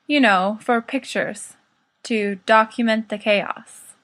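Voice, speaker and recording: A stodgy, bookish teenage boy, read by a woman in an audiobook. He sounds dry and reluctant, in a highish, bright voice. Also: slow pace. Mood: dry, muttering. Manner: mild, dry, protesting. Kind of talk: fan fiction audiobook character.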